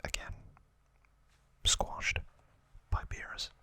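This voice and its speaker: A man whispering an ASMR recap as host, in short, deliberate phrases with pauses between them. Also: sharp tone.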